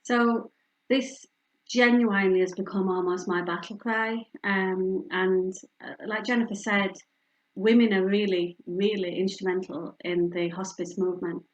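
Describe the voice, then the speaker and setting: A woman's voice: medium pitch, steady tone, measured pace, rough recording. Middle-aged Yorkshire woman, conversational speaker at a community event, candid and chatty with plenty of ums. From Yorkshire, England. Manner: warm, candid, personal storytelling with frequent fillers. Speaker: speaker from a hospice charity. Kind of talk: community talk with slides.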